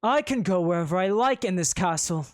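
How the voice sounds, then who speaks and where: A man's voice: high-pitched, animated, a little fast, pitched above the performer's own voice. A storyteller voicing a haughty, spoiled young male prince who huffs and sneers. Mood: demanding. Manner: huffy, sneering and indignant.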